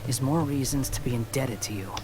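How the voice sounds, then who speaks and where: A man's voice: mid-range voice, brisk, natural voice. A man voicing a proud young male wanderer in a video game, dry, scornful and sarcastic. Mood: curt, dry. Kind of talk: scripted video game dialogue. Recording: noisy game stream capture.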